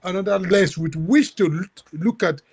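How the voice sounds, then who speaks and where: A man moderating a book discussion in an academic way, halting and repeating words as he builds his point. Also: high-pitched, vocal fry, a little mellow, breathy edge.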